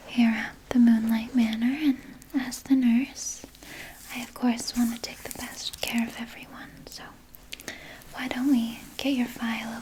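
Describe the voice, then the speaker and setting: A woman playing a sinister, doting vampire nurse in an ASMR roleplay, speaking in a breathy, coy, soothing whisper. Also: fairly high pitch, darkish tone, low-quality recording. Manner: slow, coy, soothing, with murmured asides. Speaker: ASMR performer.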